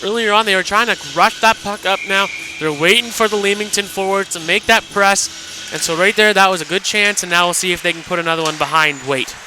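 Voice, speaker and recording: A man's voice: high voice, a little fast, over music, rough recording. A man giving colour commentary and analysis on a live radio hockey broadcast. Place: commentary position in an indoor hockey arena.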